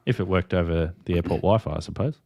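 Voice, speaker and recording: A man's voice: low voice, quick pace, gravelly, dark tone, dry recording, clean studio sound. A man hosting a casual hobby livestream, chatting informally and thinking aloud as he goes. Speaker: livestream host. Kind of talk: casual live-stream chat about ham radio.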